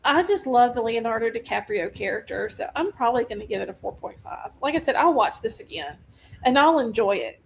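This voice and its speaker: A woman's voice: on the high side, very animated, brisk, gravelly, slight background noise, poor audio. A woman with a Southern US accent, casually chatting about movies on a podcast in a laid-back way. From Deep South of the US. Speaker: podcast co-host. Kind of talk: casual movie review chat.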